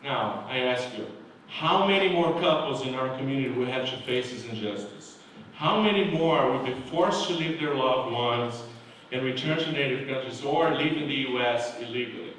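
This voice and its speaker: A man's voice: mid-range voice, a bit bright, recorded from a distance, a little hiss or hum. Man giving a speech in an echoey hall. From Brazil. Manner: earnest, heartfelt, measured. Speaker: award honoree. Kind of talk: acceptance speech. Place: large hall. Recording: very echoey hall, mic from afar.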